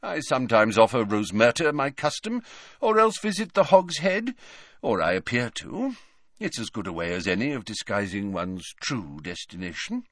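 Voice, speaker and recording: A man voicing a grave, courteous old wizard headmaster in an audiobook, measured, formal and authoritative. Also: medium pitch, sing-song, no room sound, studio quality. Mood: calm, light. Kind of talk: dramatised audiobook reading.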